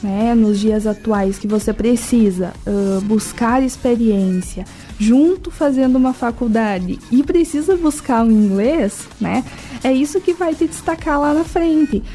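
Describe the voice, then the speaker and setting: High, mellow southern Brazilian woman, explaining. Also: measured pace, soft background music. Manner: didactic, peppered with rhetorical questions and check tags. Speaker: interview guest from a language school. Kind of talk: explanatory radio interview talk.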